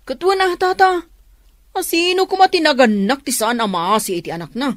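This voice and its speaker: A woman's voice: high-pitched, moderate pace, no echo, clean studio sound, lightly acted. An actress playing a frank, concerned young Filipino woman in a radio drama. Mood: placating.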